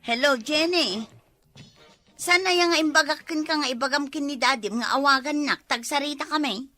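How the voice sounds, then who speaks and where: A woman's voice: high voice, moderate pace, sharp tone, faint music behind. A woman with an Ilocano accent acting an anxious, hard-pressed mother working overseas, in a comedy drama. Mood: anxious, pressing.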